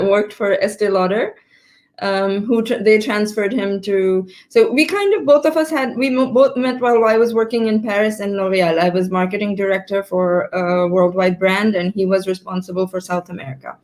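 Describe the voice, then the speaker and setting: A woman in her fifties, born in Pakistan, answering interview questions on a video call, often pausing to rephrase her sentences. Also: medium pitch, steady tone, a little mellow. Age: 50s. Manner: thoughtful, stops to rephrase mid-sentence. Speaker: guest entrepreneur.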